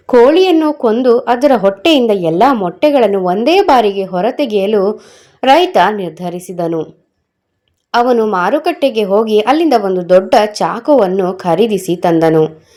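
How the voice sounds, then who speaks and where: Woman, children's story narrator, unhurried pace, very animated intonation, scripted delivery. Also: medium pitch.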